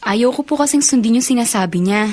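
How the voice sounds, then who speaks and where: A woman's voice: fairly high pitch, fast, a little mellow, slight background noise, lightly acted. A Filipino woman acting in a radio drama as a sweet, polite young college student. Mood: troubled. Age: young adult.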